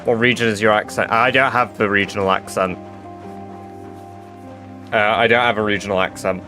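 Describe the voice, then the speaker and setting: A man's voice: on the low side, steady tone, normal speed, bright, forceful tone, faint music behind. A man with an English accent chatting loosely with viewers on a live stream, full of fillers and casual swearing. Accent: English, mixed with northern English touches. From England.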